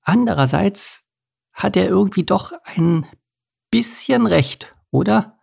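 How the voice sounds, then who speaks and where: Man doing a high, chatty little thrush. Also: very animated, moderate pace, vocal fry, darkish tone, slightly rough, heavily acted. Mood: sheepish. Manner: earnest, chatty. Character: high-pitched earnest female thrush, small talkative bird. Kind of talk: children's storybook narration.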